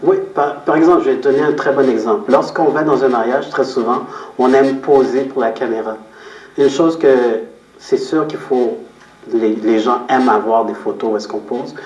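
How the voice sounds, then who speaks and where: A man answering interview questions as a guest, explaining his outlook in a reflective, measured way and repeating phrases for emphasis. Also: mid-range voice, darkish tone. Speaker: interview guest, photographer and business owner. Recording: roomy echo, distant microphone.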